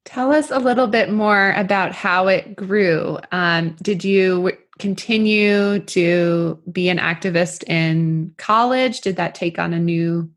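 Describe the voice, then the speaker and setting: A woman conducts an interview with a somewhat low voice and a very unhurried pace. She sounds attentive and encouraging as she puts conversational questions to her guest.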